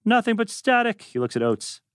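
A man narrates screenplay directions at a table read. His medium-pitched voice is highly varied in intonation, and the sound is dry. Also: sing-song, a little fast, fairly bright tone, clean tone, dry recording.

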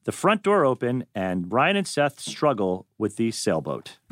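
A man's voice: medium pitch, wildly swooping pitch, measured pace, no room sound, clean studio sound. A man reading stage directions as narrator at a script table read.

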